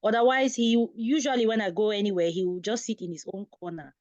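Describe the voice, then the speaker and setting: A woman's voice: medium pitch, steady tone, fast, darkish tone, no room sound, muffled recording. A woman, a guest parent on a talk show, telling personal stories in a conversational way.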